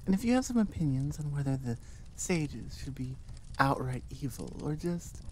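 A woman with a low, mellow voice talks casually to her listeners. She thinks out loud in a reflective, informal ramble, with faint noise behind her. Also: sing-song, moderate pace. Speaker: audio creator addressing listeners. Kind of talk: casual chatty outro, thinking aloud.

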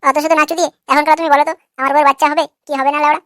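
A man voicing an irritable, foul-mouthed young husband in a comic cartoon, with a sharp, artificially raised voice and a combative manner. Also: very high-pitched, level tone, racing, dry recording, studio quality, voice pushed up. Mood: defensive. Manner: combative, crude.

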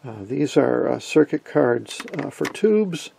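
A man giving a casual, colloquial technical explanation as host of a repair video, talking haltingly. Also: mid-range voice, very animated, creaky, mellow, a little hiss or hum.

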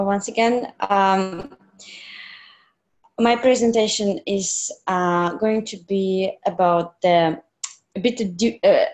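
A woman's voice: mid-range voice, a little mellow. A young woman from Russia with Russian as her first language, giving a talk over a video call, hesitant, with many fillers and non-native phrasing. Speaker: presenter. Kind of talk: online conference talk.

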